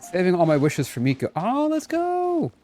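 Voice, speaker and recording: A man talks casually to his live audience while gaming. His voice sits a little high and moves around a lot in pitch, with a playful, exclamatory delivery. Faint game music plays behind him. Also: huge pitch swings, moderate pace, mellow. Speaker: game streamer. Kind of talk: casual live gameplay chat with viewers.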